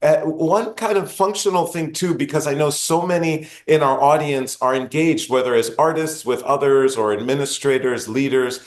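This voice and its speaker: A man's voice: fairly high pitch, moderate pace, few pauses. A man hosting an interview, asking long, earnest, winding questions. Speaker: podcast host, interviewer. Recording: roomy echo.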